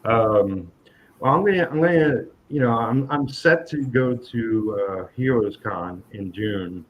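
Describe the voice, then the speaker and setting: A man's voice: medium pitch, lively intonation, measured pace. A man from New York hosting a casual interview chat, talking hesitantly with many ums and restarts. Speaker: podcast host. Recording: dull lo-fi sound with faint noise.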